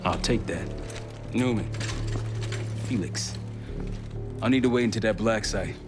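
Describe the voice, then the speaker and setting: Man playing a low-voiced commanding ops leader. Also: unhurried, music in the background, natural voice. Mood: brisk, directing. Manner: terse, informal. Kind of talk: video game cutscene acting.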